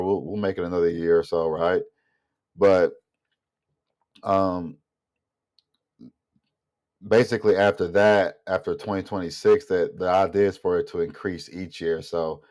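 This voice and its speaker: A man's voice: low-pitched, darkish tone. A man giving a casual, rambling opinion monologue as a vlogger, sounding nasal and congested from a mild illness. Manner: rambling, thinking aloud.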